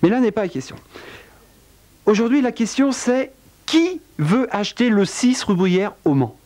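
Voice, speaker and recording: Man, storyteller, wry, theatrical, has a cold, fairly high voice. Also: lively intonation, measured pace, a little mellow. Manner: wry, theatrical storytelling with big pitch swings. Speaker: narrator. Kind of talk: dramatic spoken narration.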